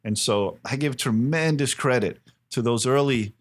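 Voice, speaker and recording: A man's voice: mid-range voice, moderate pace. An American man in his fifties speaking earnestly and emphatically as an interview guest, telling stories in a conversational way. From Miami, United States. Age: 50s. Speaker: interview guest, cigar company president.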